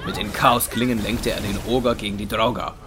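A man narrating a scripted dramatic story in a measured, deliberate way. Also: fairly low pitch, level tone. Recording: music under the voice.

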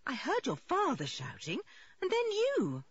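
A practical, no-nonsense mother played by a woman in a storybook reading. She is soothing yet brisk, with animated pitch and slightly dull sound. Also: no echo, full character voice. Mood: concerned. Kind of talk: audiobook character voice.

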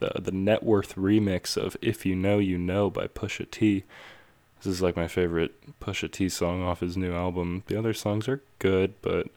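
Deep-voiced, easygoing man hosting a music show. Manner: relaxed, rambling, self-joking. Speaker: radio show host. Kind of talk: casual DJ talk between songs.

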